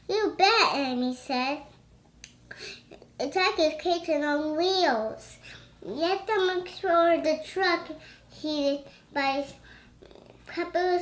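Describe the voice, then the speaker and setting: A young child reading a storybook aloud haltingly, breaking into excited chatter. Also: high-pitched.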